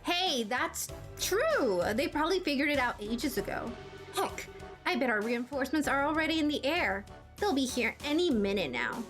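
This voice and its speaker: A woman's voice: sits high, expressive, moderate pace, fairly bright tone. A woman voicing a plucky, hot-headed teenage girl fighter in a video game, feisty, sarcastic and defiant. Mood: cocky. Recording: music behind the voice.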